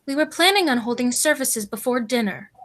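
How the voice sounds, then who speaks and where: Teen girl playing a sweet proper old auntie, radio play, high voice, very matter of fact. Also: lively intonation, a little fast, fairly bright tone. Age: teens. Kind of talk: school radio play acting.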